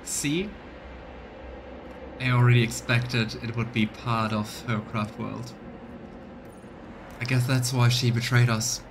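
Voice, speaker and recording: A man doing live let's play commentary, reading game text aloud and thinking out loud for his viewers. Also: medium pitch, fairly even intonation, takes it slowly, pauses often, background noise. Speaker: live streamer voicing a game playthrough.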